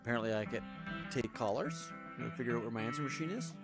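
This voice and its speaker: A man's voice: mid-range voice, expressive, rapid-fire, loud music behind the voice. A man hosting a gaming video with casual, off-the-cuff let's-play commentary.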